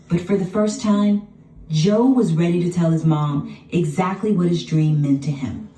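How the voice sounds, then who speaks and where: A woman narrating a children's storybook in an expressive, dramatic scripted style. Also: on the low side. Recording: roomy, distant sound with music behind.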